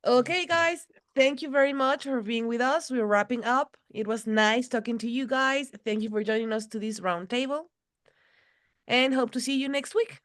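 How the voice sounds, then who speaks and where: Woman, roundtable host; short friendly answers, voice a bit high. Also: moderate pace, fairly bright tone. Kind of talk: casual video call roundtable.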